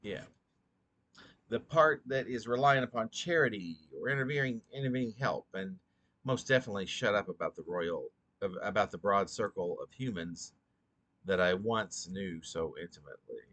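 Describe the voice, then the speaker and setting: A drowsy man reading his own poetry slowly and deliberately, stumbling and repeating phrases as he goes. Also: fairly low pitch, animated, a bit bright. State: drowsy, short on sleep. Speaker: poet reading own work. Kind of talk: slow, halting poetry reading.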